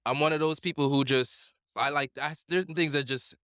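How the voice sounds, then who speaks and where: A man's voice: a little high, fairly even intonation, normal speed, a bit bright, smooth, no echo, studio quality. A man hosting a casual podcast, talking in emphatic slang with frequent swearing. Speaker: podcast host. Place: indoor lounge smoke shop.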